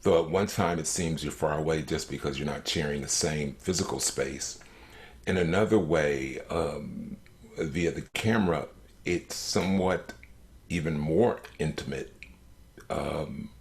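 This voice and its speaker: Male, profoundly low bass register, measured and thoughtful, unscripted interview reflection. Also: a little mellow.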